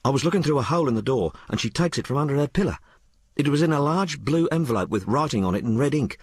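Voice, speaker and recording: A man voicing a rough, frank working-class young woman in an audiobook, blurting out her tale in colloquial, ungrammatical speech. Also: mid-range voice, lively intonation, fast, a little mellow, no room sound, studio quality, voice pushed up. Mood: frank, gossipy. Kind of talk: audiobook character dialogue.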